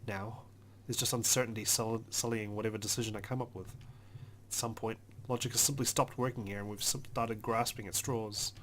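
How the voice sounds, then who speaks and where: Low-voiced man reading game narration. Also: steady tone, moderate pace, fairly bright tone, a little hiss or hum. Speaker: let's player. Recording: music behind the voice.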